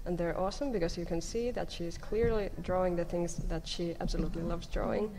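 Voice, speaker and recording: A woman's voice: on the low side, fairly even intonation, fairly quick, vocal fry, dark tone. A young woman talking casually as an artist on a panel, into a table microphone on a stage. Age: young adult. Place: convention panel stage before an audience. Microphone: table microphone on stand. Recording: noisy live stage microphone.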